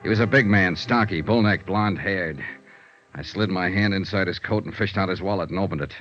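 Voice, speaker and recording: A man's voice: deep voice, a little fast, natural voice. An American actor playing a tough, shrewd male investigator in a 1950s radio noir serial, with clipped first-person delivery. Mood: tense, measured. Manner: clipped, hard-boiled delivery. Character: tough, shrewd male freelance insurance investigator, hard-boiled. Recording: muffled vintage radio recording, orchestral music.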